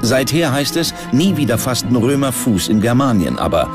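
A man narrates a documentary from a script, in a mid-pitched voice. Music plays clearly behind him. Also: sing-song, normal speed. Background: background music.

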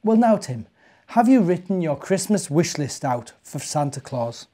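A man's voice: a little high, big pitch swings, a little slow, warm tone. Man reading as a kindly old British woman.

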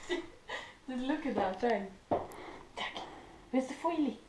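A woman's voice: sits high, expressive, slow pace. A woman chatting casually and playfully, coaxing in short repeated phrases. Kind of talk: casual chat, playful pet talk. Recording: echoey, noisy, distant camera mic.

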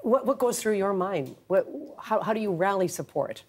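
A middle-aged woman hosting a TV studio interview, asking brisk questions with small restarts. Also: mid-range voice, animated. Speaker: TV host. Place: TV news studio. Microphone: lapel mic.

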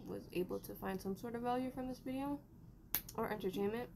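A young woman rambles casually while sorting laundry, her voice gravelly with fry. She is recorded by a camera mic across a small bedroom, with a clear hiss of noise underneath. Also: on the high side, brisk. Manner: rambling, chatty, talking to herself while doing laundry. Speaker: vlogger. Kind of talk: casual vlog narration. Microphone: camera mic at a distance.

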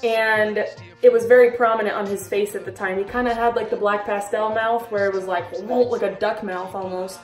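A young woman in her twenties chatting casually in a vlog, gushing about her pet snakes and breaking into high baby talk. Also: fairly even intonation, fairly quick, distant mic. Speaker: reptile keeper vlogger. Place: small bedroom reptile room indoors. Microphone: camera a little way off. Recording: roomy echo, voice a bit off-mic, faint music.

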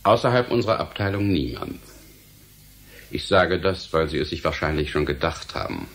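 Radio play casting: menacing official, low register, formal, measured, authoritative. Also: male voice, lively intonation, fairly bright tone, heavily acted. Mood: formal, self-assured. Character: stern, menacing male government official guarding forbidden science. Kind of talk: German radio drama acting.

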